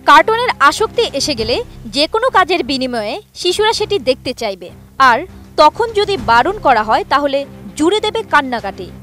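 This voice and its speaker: A woman's voice: high voice, very animated, normal speed, fairly bright tone, soft background music. A woman with a Bangladeshi accent narrating a scripted news report as a reporter.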